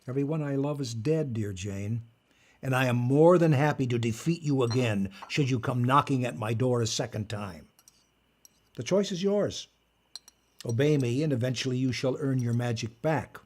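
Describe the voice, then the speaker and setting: A man acting in an audio drama as an old magician and collector, formal, smug and lecturing, with a measured delivery. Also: medium pitch, a little mellow, slight background noise, natural voice. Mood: composed, smug. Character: old male magician and artifact collector, commanding, smugly moralizing.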